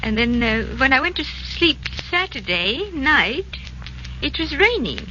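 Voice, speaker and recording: A woman's voice: high voice, sing-song, unhurried, sharp tone, dull audio, slight background noise, heavily acted. A woman playing a confused, insistent old aunt in a 1940s radio drama, hesitant and repeating herself, on an old recording. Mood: bewildered. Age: older.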